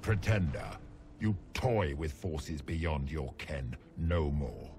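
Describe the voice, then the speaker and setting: An actor voicing a towering ancient darkspawn magister, imperious and menacing, with grandiose, archaic declaiming and a resonant voice in a video game. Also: male voice, rumbling low, animated, slow pace, noisy background, heavily acted. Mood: imperious menace. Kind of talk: dramatic video game villain acting.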